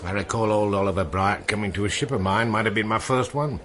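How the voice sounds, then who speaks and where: A man's voice: lowish voice, steady tone, fast, a bit bright, some chatter behind, close to an everyday voice. An actor playing a seasoned, wistful seaman in a radio drama, telling tales in a musing, colloquial way. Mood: wistful, nostalgic. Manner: musing, colloquial storytelling with seafaring turns of phrase. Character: seasoned old seaman, wistful, salty storyteller.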